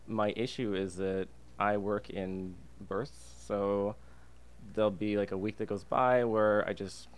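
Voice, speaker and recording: A man's voice: fairly low pitch, level tone, a little slow. A young adult Canadian man chatting casually as a guest in a podcast interview. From Alberta, Canada. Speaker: podcast guest, freelance illustrator and designer. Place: podcast booth inside a diner. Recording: clear background ambient noise.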